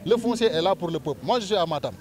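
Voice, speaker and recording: A Senegalese-accented man with a somewhat high-pitched voice speaks as a local politician in an interview. He makes his pitch earnestly, stressing points by repeating phrases. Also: lively intonation, rapid-fire. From Diourbel, Senegal. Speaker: local politician and civil servant.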